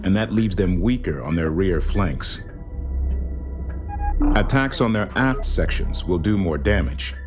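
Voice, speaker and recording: A composed fleet intelligence officer speaks in a lowish register over clear music. He is clipped, official and authoritative, issuing sci-fi game briefings in a matter-of-fact way. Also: lively intonation, measured pace, pauses often. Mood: businesslike, focused. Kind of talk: scripted game dialogue and mission briefing. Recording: music behind the voice.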